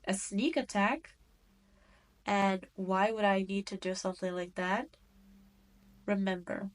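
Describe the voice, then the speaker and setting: Slow, taunting demon queen, acted by a woman. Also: mid-range voice. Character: cruel, gloating demon queen toying with captured hero. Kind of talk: scripted audio roleplay monologue.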